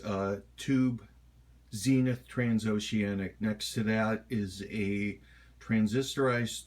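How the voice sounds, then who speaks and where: A middle-aged man giving a casual, halting home tour of his hobby gear in a rambling, unhurried way. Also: lowish voice. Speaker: hobbyist showing his radio collection. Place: home kitchen.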